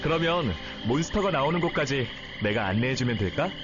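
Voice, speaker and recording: A man voicing a gentle, thoughtful and protective young companion in a Korean game, earnest and caring. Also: medium pitch, expressive, fairly quick, dull audio, music in the background, natural voice. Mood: calm, curious. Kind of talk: Korean game character voice acting.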